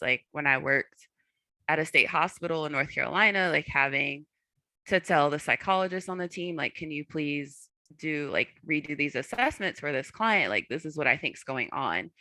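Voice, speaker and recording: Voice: mid-range voice, gravelly, pushed and bright, no room sound. A young adult clinician speaking candidly on a panel, earnest, with many fillers.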